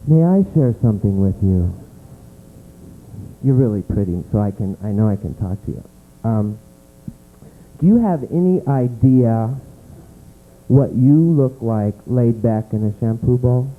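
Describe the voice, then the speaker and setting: A man doing a stand-up comedy set in a small club, with a campy, dramatic delivery that drops into a low voice for effect. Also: lively intonation, a little slow, pauses often. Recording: tinny lo-fi live recording with mains hum.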